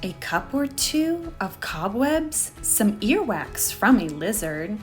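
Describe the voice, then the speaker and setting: Slow-paced woman reading a children's story. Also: mid-range voice, very animated. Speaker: storyteller, channel host. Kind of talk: expressive children's book read-aloud. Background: faint music.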